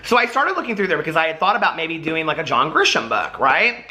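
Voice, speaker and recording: Middle-aged american guy doing a chatty book vlog, really bright forceful voice, rambles off on asides. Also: fairly high pitch, big pitch swings, fast talker, slight background noise. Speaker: book vlogger. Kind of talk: casual chatty vlog talk.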